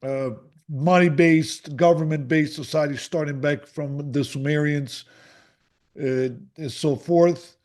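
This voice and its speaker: A man's voice: on the high side, darkish tone. Enthusiastic man hosting an author interview. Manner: enthusiastic, halting with restarts. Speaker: podcast host.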